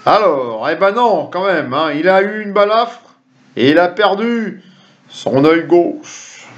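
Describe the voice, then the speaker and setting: High-pitched, slow-talking middle-aged man. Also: expressive. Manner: jokey, chatty asides to viewers. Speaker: quiz host. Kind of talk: reading quiz questions to camera. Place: small room at home. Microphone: webcam or computer mic at desk. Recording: dull lo-fi webcam sound.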